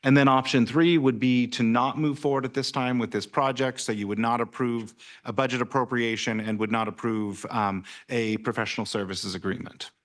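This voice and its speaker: Halting man presenting to council. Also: medium pitch, steady tone, fairly bright tone. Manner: halting, many ums and restarts. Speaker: city planning staff. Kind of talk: staff presentation at council meeting.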